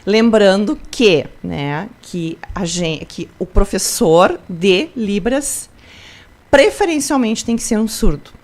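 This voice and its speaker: A woman's voice: mid-range voice, lively intonation, slow pace, a little mellow. A woman from Brazil, a school principal, answering interview questions in an explanatory way, with frequent tag questions and restarts. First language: Portuguese.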